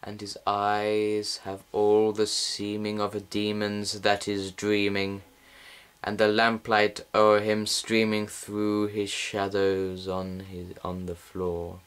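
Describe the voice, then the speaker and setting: Slow-paced man solemnly reciting gothic verse. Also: on the low side, completely flat delivery, fairly bright tone, clear, resonant tone. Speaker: amateur poem reader. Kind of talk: dramatic gothic poetry recital.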